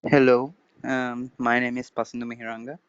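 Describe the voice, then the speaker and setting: A young man introduces himself earnestly. He speaks in a very flat monotone that comes through clean and dry, with dull call audio. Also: mid-range voice, moderate pace, clean tone, no echo. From Sri Lanka. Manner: earnest, hesitant. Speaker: student.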